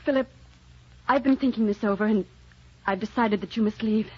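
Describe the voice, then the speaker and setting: Actress as earnest heroine, muffled old recording. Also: female voice, medium pitch, a little fast, mellow, husky, close to an everyday voice. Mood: worried. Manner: earnest, melodramatic, pleading. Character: earnest, anguished, self-sacrificing romantic heroine. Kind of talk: old-time radio drama acting.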